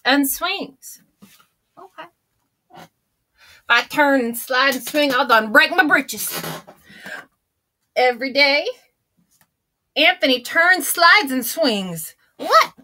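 Woman playing old grandma, folksy, drawling, unhurried, high bright voice, storybook read-aloud. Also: full character voice. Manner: chatty and theatrical, gasping and exclaiming.

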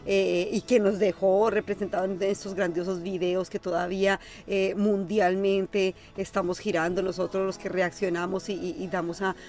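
A woman's voice: mid-range voice, little pitch movement, normal speed, hardly any pauses, darkish tone. A Colombian woman in her forties with a Colombian Spanish accent, co-hosting a music reaction chat in a small room, talking earnestly with many fillers. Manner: emotional, earnest, talks at length with many fillers. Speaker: co-host of a music reaction channel. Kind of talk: casual chat.

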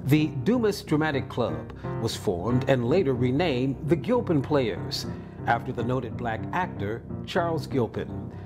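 A man voices a documentary narration, reading lists in a steady cadence. His pitch moves in a lively way around a medium voice. Guitar music plays clearly underneath. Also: measured pace. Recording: voice-over with music bed.

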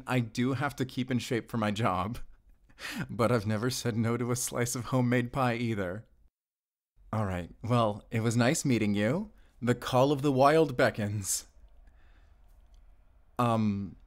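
A man playing a friendly, bashful forest ranger and doting father in an intimate audio roleplay, chatty and gently teasing. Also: mid-range voice, unhurried, pauses often, natural voice.

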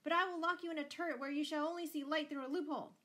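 A woman's voice: mid-range voice, lively intonation, moderate pace, pushed and bright. A woman voicing a furious, tyrannical noble father in a storybook, bellowing and thundering with indignation. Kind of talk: dramatised storybook reading.

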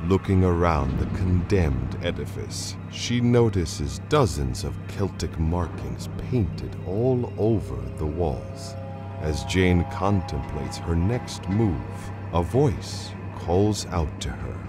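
A man's voice: low voice, lively intonation, takes it slowly. A man narrating a supernatural radio play as a grave, dramatic storyteller. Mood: grave, suspenseful. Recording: voice over clear music.

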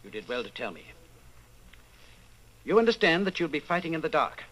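A man playing a calm, authoritative underground leader, speaking gravely and deliberately in an old-time radio drama. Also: fairly high pitch, a little hiss or hum, rough recording. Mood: calm, instructive. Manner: measured, deliberate, solemn.

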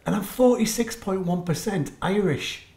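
A man in his forties, reading out results in a low-key, laid-back way at a kitchen table. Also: normal speed, a little mellow, roomy sound. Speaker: co-host, partner. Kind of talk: casual chat reading results. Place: kitchen table at home, indoors.

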